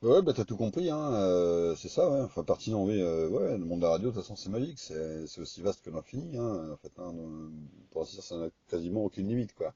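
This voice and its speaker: Very deep-voiced man chatting over radio. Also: animated, dark tone, husky. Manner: halting, informal, slangy. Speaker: amateur radio operator. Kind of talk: casual radio contact chat. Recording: dull, echoey two-way radio recording.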